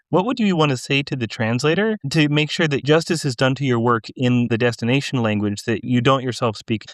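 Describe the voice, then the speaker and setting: A man's voice: medium pitch, no echo, clean studio sound. A man co-hosting a podcast who reads out announcements and asks long, carefully framed questions. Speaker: podcast co-host.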